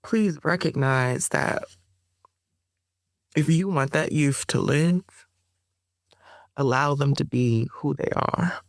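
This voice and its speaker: A woman guest and performer in a reflective interview, speaking slowly and pausing to find her words, with slangy asides. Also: low voice, dry recording, clean studio sound.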